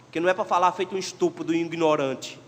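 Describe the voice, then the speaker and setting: A male pastor with a Pernambuco accent delivers a sermon in a high voice. He swings between forceful exhortation and hushed asides. The sound carries the long reverb of a church. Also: steady tone, racing. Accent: Pernambuco Portuguese. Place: church sanctuary.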